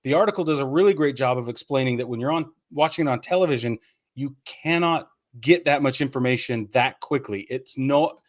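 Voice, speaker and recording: A male podcast co-host talks through sports in a casual, conversational way. He is opinionated and explanatory, laying out his argument step by step in a mid-pitched voice. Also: brisk.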